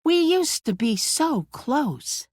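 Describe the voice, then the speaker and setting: An actress playing a poised, commanding woman who leads a survivor settlement in a video game, speaking in a measured, courteous but firm way. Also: high voice, big pitch swings, no room sound, studio quality, exaggerated voice. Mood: indignant, accusing. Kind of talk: dramatic video game dialogue.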